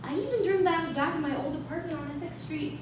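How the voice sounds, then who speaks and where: A woman's voice: sits high, quick pace, lightly acted. A woman playing a taunting, sarcastic estranged wife in a staged reading of a play. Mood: smug. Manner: needling, sarcastic. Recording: echoey, noisy stage recorded from far off.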